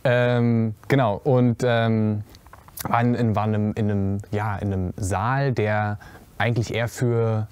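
A man's voice: fairly low pitch, steady tone, unhurried, a little mellow, smooth. A teenage boy on a stage panel, answering with stumbles and repeated words. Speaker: young cast member. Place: stage with curtain, indoors.

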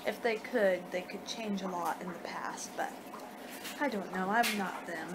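A woman's voice: medium pitch, measured pace. A woman in her twenties doing a rambling, hesitant ASMR roleplay as a friendly vampire, speaking conversationally. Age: 20s. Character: friendly young two-hundred-year-old female vampire hosting a guest. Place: small room at home. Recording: noisy home webcam recording.

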